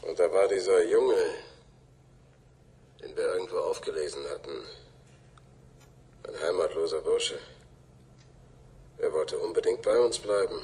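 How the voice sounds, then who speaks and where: A man's voice: low-pitched, measured pace, long pauses, mellow. A man playing a fanatical, proud cult leader in a German radio drama, speaking in a brooding, theatrical way that drops to whispers. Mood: brooding, menacing. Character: fanatical, menacing cult leader recalling bloody deeds, contemptuous and proud. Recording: clearly noisy tape-like recording.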